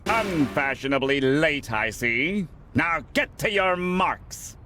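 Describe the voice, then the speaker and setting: A man's voice: on the high side, lively intonation, takes it slowly, over loud music. A man playing a haughty, insulting film director in a cartoon game, theatrical and full of disdain. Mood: disdainful, exasperated.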